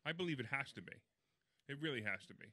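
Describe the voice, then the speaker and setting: A man co-hosting a casual radio show, talking quickly with a playful, teasing manner. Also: medium pitch, lively intonation, pushed and bright, dry recording, studio quality. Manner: fast, jokey, playful teasing.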